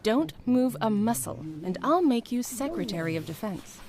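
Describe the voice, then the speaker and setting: A woman's voice: fairly high pitch, big pitch swings, normal speed, crowd noise. An actress playing a teasing, scheming female politician in a video game. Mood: playful, teasing.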